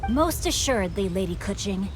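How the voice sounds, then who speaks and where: A woman's voice: medium pitch, animated, normal speed, music in the background, natural voice, rough recording. A woman voicing a courteous, chivalrous young master, formal and polite, in a video game cutscene.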